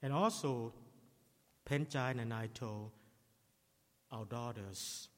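Middle-aged man, Thai native speaker, as pastor preaching in English: slow, deliberate delivery, reverberant church hall. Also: mid-range voice, fairly bright tone. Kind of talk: sermon.

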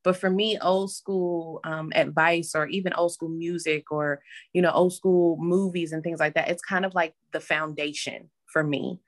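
A woman's voice: fairly low pitch, fairly even intonation, normal speed. A woman, an advice columnist, answering interview questions in a reflective, hesitant way with frequent fillers.

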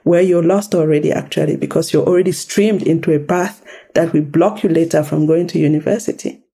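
A woman from Cameroon, a guest in an interview, telling her life story slowly and reflectively with emphasis. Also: low-pitched, steady tone, creaky, mellow, husky.

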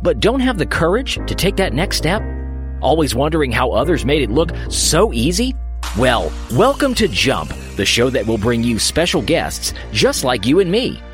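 A man's voice: a little high, sing-song, moderate pace, soft background music. A man reading a scripted show intro, punchy and persuasive, speaking straight to the listener. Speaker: show announcer. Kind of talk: scripted promo voice-over.

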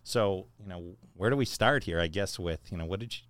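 Male podcast host, lowish voice, measured list-like reading, dry close mic. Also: fairly bright tone, no room sound. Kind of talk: reading an introduction, then interview chat.

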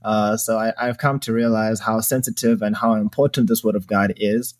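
Low-pitched earnest man hosting a podcast. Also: steady tone, moderate pace. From Zimbabwe. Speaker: podcast host. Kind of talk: personal testimony in casual podcast talk.

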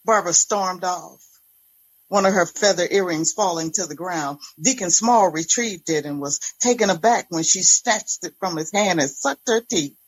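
A woman's voice: fairly low pitch, moderate pace, husky, poor audio. A woman reading a first-person dramatic story as its narrator.

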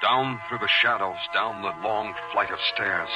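A man's voice: on the low side, measured pace, music in the background, natural voice. A man playing a tough, sardonic police detective with a clipped, hard-boiled delivery in a 1940s radio drama. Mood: brooding narration. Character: tough, sardonic male police detective narrating his case. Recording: very noisy, crackly 1940s radio recording.

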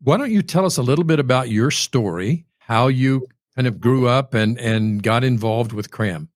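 A man's voice: mid-range voice, normal speed, no echo, studio quality. A man hosting an interview, introducing his guest and then chatting with him in a friendly, easygoing way. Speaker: host.

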